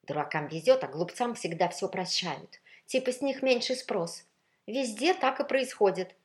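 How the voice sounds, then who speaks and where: A woman's voice: medium pitch, animated, moderate pace, voice pushed up, natural voice. Lady doing a mean icy jealous dress from a story, sneering at everyone. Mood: envious, spiteful. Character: envious, spiteful, icy talking garment.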